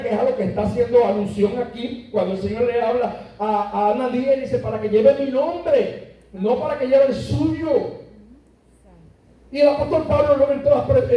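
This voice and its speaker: High-voiced preacher in an echoey church. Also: male voice, mellow. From Puerto Rico. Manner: preaching with emphatic rises and slowed, stressed words. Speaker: pastor. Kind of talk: sermon. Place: church sanctuary. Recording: echoey church heard from a distance.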